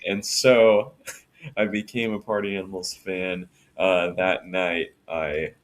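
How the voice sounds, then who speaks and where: A man with a low, deep voice co-hosts a casual podcast. He speaks in a steady monotone, pausing with "uh" and restarting his sentences as he thinks aloud. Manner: unhurried, many fillers and restarts. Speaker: podcast co-host.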